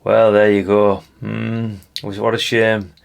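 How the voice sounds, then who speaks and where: Low-voiced British man, slightly gravelly, chatting casually. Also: flat delivery, slow pace. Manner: chatty and colloquial, often adding tag questions. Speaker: hobbyist video host. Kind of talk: casual rambling commentary while leafing through a brochure.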